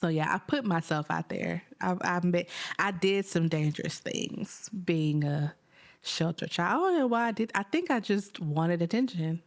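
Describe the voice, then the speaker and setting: A thirty-year-old woman, co-hosting a podcast, who teases her co-host, laughs often and jumps into squeaky voices when she quotes people. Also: animated, normal speed. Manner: playful teasing, laughs, squeaky put-on quotes. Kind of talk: casual chat.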